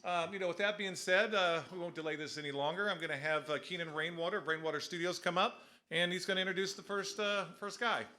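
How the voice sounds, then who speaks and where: A man with a naturally loud, bright, forceful voice, pitched a little high for a man. He welcomes a live audience at a winery over a PA mic, loose and casual, filling pauses with "uh". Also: fairly even intonation, a little hiss or hum. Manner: casual, with frequent "uh" fillers. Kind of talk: live event welcome announcement.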